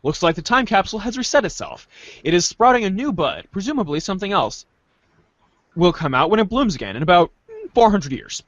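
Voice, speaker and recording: A podcast host reads a webcomic script out loud in a playful, theatrical way. The pitch swings around a lot. The recording is dry and a little dull. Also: very animated, fairly quick, no echo. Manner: playful, theatrical page-change calls. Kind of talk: dramatic group reading of a webcomic script.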